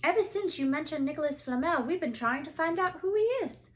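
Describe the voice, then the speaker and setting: A woman voicing an eager, cheeky young schoolboy in a storybook, talking in a bright, quick, pleading way. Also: high-pitched, animated, a little hiss or hum, pitched above the performer's own voice.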